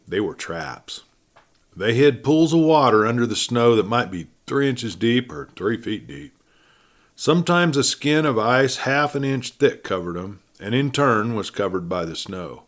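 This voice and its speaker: A man narrating a classic adventure story in a measured storytelling way, with pauses and phrases that fall away at the end. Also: fairly low pitch. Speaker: audiobook narrator and host.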